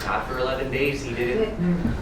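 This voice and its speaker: A man's voice: medium pitch, big pitch swings, normal speed, natural voice. A man playing a stern, impatient police officer in a staged reading, giving insistent orders. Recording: echoey hall, distant mic, noisy.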